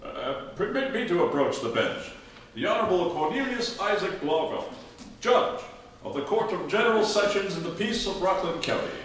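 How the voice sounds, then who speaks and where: A man's voice: sits high, sing-song, normal speed, a bit bright, far from the mic, slight background noise. A man playing a pompous, indignant 1840s judge with grandiose, old-fashioned diction in a comic radio play. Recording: roomy hall recording from afar, music behind.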